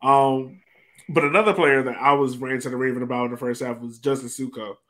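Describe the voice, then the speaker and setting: A man's voice: medium pitch, fairly even intonation, fairly quick, fairly bright tone. A man hosting a casual sports discussion over a video call. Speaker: podcast host. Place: indoor room on a video call. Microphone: video call microphone.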